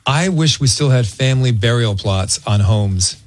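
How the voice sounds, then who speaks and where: A man's voice: mid-range voice, animated, moderate pace, soft background music. A man hosting a radio show, chatting casually and teasing playfully into a close studio microphone. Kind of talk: casual on-air chat, teasing banter.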